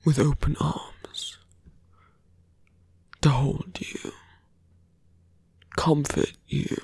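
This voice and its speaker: A performer voicing a smug, seductive fantasy villain in an ASMR roleplay, slowly and intimately whispering. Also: medium pitch, steady tone, darkish tone, no echo, clean studio sound, full character voice. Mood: coaxing, seductive. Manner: slow, breathy whispering, intimate.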